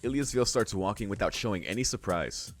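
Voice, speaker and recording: A man hosting a visual novel read-along, switching between narrating the text aloud and casual, halting reaction commentary. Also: on the low side, animated, faint music behind. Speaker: let's-play host reading a visual novel aloud and reacting.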